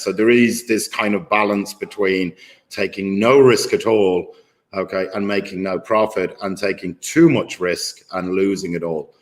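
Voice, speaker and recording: A man with a lowish voice talks casually as a guest. He rambles through fillers and restarts, and he is blunt, with the odd swear word. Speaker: guest market maker. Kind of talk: casual video-call conversation. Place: at home in his city.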